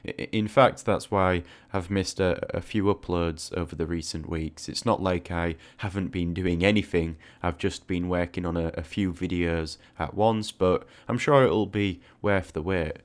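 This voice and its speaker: A man's voice: deep voice, fairly even intonation, normal speed, few pauses. A man hosting a casual, reflective audio log, speaking informally with small stammers on the starts of words. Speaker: art video creator and host.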